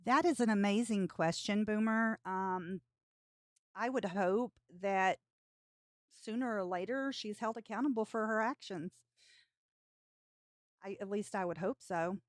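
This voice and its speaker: A woman's voice: medium pitch, measured pace, pauses often, dry recording, studio quality. A woman hosting a live stream, giving casual commentary on clips.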